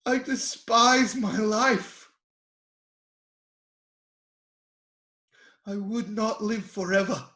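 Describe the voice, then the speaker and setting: An actor playing an anguished sufferer who pleads bitterly with God and friends in an epic, halting, impassioned dramatic scripture reading. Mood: despairing. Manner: impassioned, halting, swinging from low murmurs to anguished shouts. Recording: roomy, echoing space.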